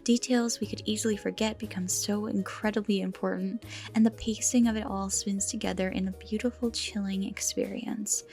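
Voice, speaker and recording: A woman's voice: medium pitch, fairly even intonation, normal speed, gravelly, few pauses, darkish tone. Woman narrating dramatically over music. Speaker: video essay host. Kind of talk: scripted commentary on a horror series. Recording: music behind the voice.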